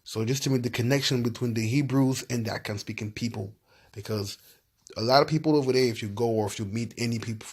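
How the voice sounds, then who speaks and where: A man's voice: mid-range voice, quick pace, slightly rough. Man from Ivory Coast reading sources aloud. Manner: loose and repetitive, with frequent "yeah" and "you know what I'm saying" fillers. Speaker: video host. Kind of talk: casual research commentary reading from websites.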